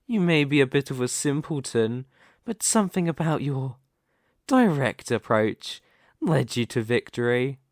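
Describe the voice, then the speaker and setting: A man's voice: mid-range voice, no echo, studio quality. A man playing a reborn demon king in a scripted ASMR roleplay, smugly menacing, possessive and seductive, with a theatrical, condescending delivery. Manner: theatrical, deliberate, condescending, grandiose.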